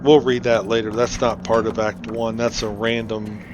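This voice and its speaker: Male gamer, lowish voice, offhand playthrough narration, noticeable noise behind. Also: little pitch movement, moderate pace. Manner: informal, thinking aloud. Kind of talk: casual gameplay commentary.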